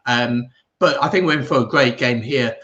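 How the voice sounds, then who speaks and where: A man's voice: medium pitch, moderate pace, bright, forceful tone, muffled recording. Man giving casual sports analysis. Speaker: guest pundit on a rugby watch-along.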